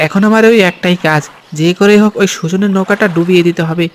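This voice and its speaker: A man voicing a sneaky, excitable henchman who fawns on his village boss in a cartoon. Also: high voice, fast talker, slight background noise, natural voice. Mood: gleeful, scheming. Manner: obsequious, excitable. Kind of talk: Bengali cartoon voice acting.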